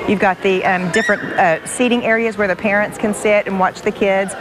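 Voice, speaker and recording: A woman's voice: mid-range voice, steady tone, brisk. Chatty middle-aged woman in a noisy mall. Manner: chatty, with restarts. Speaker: mall representative. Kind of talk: recorded interview. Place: indoor shopping mall.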